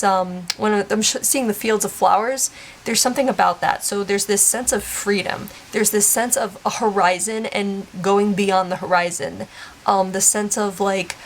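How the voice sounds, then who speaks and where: A congested woman giving a casual past life card reading, rambling and searching for words, with soft whispered asides. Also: mid-range voice, vocal fry. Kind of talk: casual spoken tarot-style reading.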